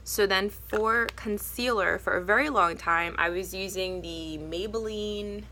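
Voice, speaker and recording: A young woman with a raspy, hoarse voice from a cold, chatting casually and a little nervously through a makeup tutorial. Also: medium pitch, measured pace, pushed and bright, a little hiss or hum. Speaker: beauty vlogger.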